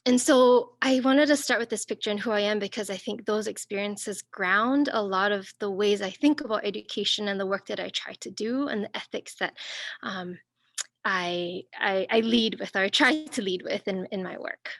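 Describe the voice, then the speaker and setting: A woman from Singapore and northern Thailand giving an academic talk over a video call, thoughtful and searching, with many pauses and restarts. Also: mid-range voice, dry recording. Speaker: guest speaker.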